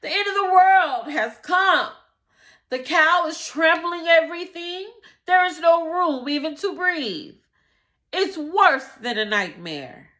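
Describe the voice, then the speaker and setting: A woman voicing a desperate, overwhelmed poor man in a storybook, pleading in drawn-out wails. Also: very high-pitched, expressive, sharp tone, pitched above the performer's own voice, exaggerated voice. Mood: frantic, desperate. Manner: shrieking, wailing, drawn-out pleas. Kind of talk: dramatic storybook read-aloud.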